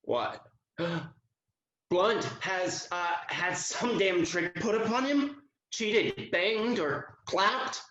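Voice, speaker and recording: Man playing an amused colonel, high voice, roomy sound. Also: extremely slow, pushed and bright, lo-fi sound, a little hiss or hum, heavily acted. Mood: amused curiosity. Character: amused, easygoing gentleman colonel. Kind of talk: period stage comedy acting.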